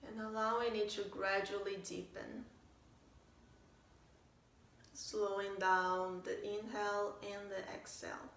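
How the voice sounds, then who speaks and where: A woman leading a guided meditation as a teacher, speaking in a calm, gentle way with unhurried phrasing and pauses. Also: medium pitch. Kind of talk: guided meditation, soft instruction. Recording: roomy, distant mic, faint noise.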